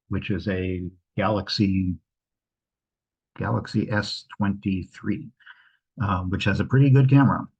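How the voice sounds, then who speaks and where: A man's voice: low voice, breathy edge, dull audio. A man presenting on a video call, informally answering questions and thinking aloud in a hesitant way. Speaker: travel presenter. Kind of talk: informal question-and-answer after a travel slideshow. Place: indoors at home, on a video call. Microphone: video call microphone.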